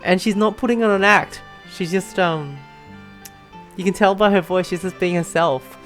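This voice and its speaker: A woman's voice: lowish voice, moderate pace. A woman giving casual, giggly commentary as she streams a game, with faint music behind her. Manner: giggly, informal. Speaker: gameplay streamer.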